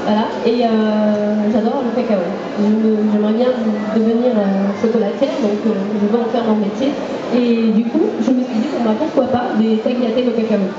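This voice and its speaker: Slightly hoarse young woman demonstrating a recipe. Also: on the high side, steady tone, normal speed, noisy background. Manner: hesitant, with many fillers. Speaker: cooking contestant giving a demonstration. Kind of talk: live cooking demonstration. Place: indoor fair hall with an audience. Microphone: handheld microphone. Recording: distant, echoey, muffled hall recording.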